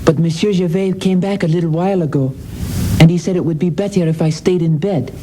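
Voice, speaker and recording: A woman's voice: lowish voice, brisk, natural voice. A woman playing a modest, sincere young boy in a radio drama, tired and hesitant. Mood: tired, regretful. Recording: noisy radio broadcast recording.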